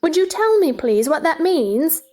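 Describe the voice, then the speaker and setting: A woman's voice: extremely high pitch, sing-song, unhurried, warm tone, heavily acted. A woman playing a polite, curious, bewildered seven-year-old girl in a theatrical audio drama, earnest and wondering. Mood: polite, puzzled.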